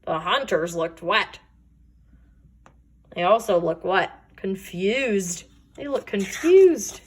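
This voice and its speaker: A woman storyteller reading a children's picture book aloud with expressive, deliberate emphasis. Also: mid-range voice, noisy background.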